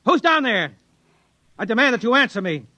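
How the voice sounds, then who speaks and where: A man's voice: extremely high pitch, very animated, normal speed. A man acting as a sensible suburban husband in a 1950s radio drama, theatrical, reasoning and easily exasperated. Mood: alarmed, exasperated. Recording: faintly noisy vintage radio recording.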